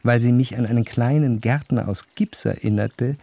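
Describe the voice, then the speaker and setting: An actor playing a reflective, wryly observant narrator in a literary radio drama, speaking in a measured, articulate way. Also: male voice, mid-range voice, creaky, dark tone. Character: reflective, observant male narrator of society life. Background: faint music.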